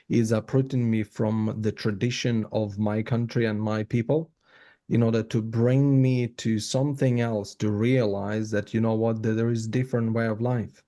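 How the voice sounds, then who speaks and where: A man from Ukraine with a Ukrainian accent, giving reflective interview answers in an earnest, halting way with repeated words. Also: on the low side, warm tone. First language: Ukrainian or other non-English. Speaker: podcast guest.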